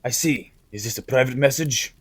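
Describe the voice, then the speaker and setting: A man voicing a bold, forceful army captain in an audio drama, with a husky voice. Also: medium pitch, moderate pace, close to an everyday voice. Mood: commanding. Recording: dry close recording.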